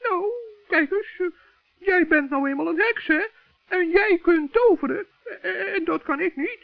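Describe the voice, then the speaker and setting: A man voicing a good-hearted, stubborn little forest gnome in a children's radio play, squeaky, creaky and earnest. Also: unhurried, dry recording, full character voice. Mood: hesitant, earnest. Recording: dull, dry vintage radio recording.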